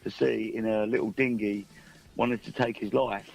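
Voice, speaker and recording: A man in his fifties chatting as a musician guest on a radio show, halting and anecdotal, self-deprecating. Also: lowish voice, fairly even intonation, gravelly, darkish tone, breathy edge, rough recording. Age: 50s. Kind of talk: casual radio interview chat.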